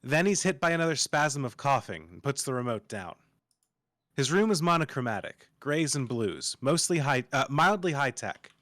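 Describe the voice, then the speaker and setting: A man's voice: medium pitch, big pitch swings, normal speed, fairly bright tone, no echo, studio quality. A man narrating, reading screenplay stage directions aloud as part of a table read.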